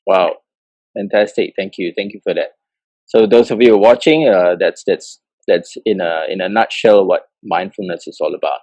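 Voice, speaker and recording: Male talk-show host, low and dry voice, stumbles through questions with eager praise. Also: darkish tone, dry recording, rough recording. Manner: halting, lots of "uh", enthusiastic affirmations. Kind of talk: interview hosting.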